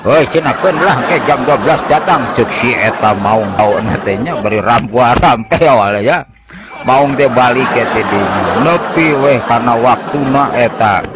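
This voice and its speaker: A male storyteller recounts a comic folk tale in an animated, chuckling manner. His voice sits in a middle pitch but swings widely through each phrase. A steady hiss of noise sits behind him. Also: unhurried. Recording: noisy home recording.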